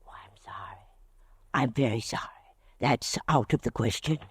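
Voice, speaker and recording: An actor playing a soft-spoken, menacing man who plans people's retribution, in 1940s radio drama style, silky and hesitant with little giggles. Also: mid-range voice, moderate pace, husky, no room sound, in a whisper, studio quality. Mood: taunting. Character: creepy polite male retribution agent, menacing.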